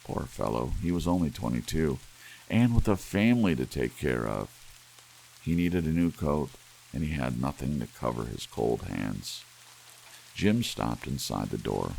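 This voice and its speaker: A man narrating an audiobook story in a soft ASMR bedtime style. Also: rumbling low, gravelly, poor audio. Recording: audible hiss under steady rain sounds. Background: soft rain.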